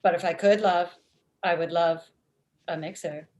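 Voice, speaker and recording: A woman in her thirties playing a blunt, literal-minded, murderous office robot in a comedy table read, with deadpan, formal phrasing. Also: lowish voice, measured pace, lightly acted. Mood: deadpan, matter-of-fact. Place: indoor room at home, video call. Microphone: video call.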